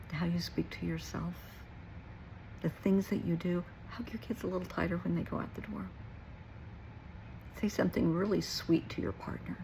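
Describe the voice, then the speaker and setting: Low, creaky-voiced woman speaking earnestly. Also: dark tone, a little hiss or hum, low-quality recording. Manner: earnest, emphatic, repeats short phrases for weight. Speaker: therapist and nurse. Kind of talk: reflective personal talk to listeners.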